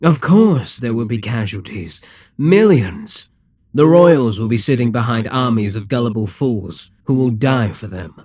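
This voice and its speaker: Man voicing a slow, husky, domineering Demon King. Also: mid-range voice, lively intonation, a little mellow, dry recording, clean studio sound. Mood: calm, menacing. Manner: commanding, condescending, deliberate. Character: immortal Demon King, male, cold, calculating, domineering tyrant. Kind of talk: ASMR audio roleplay, dramatic monologue.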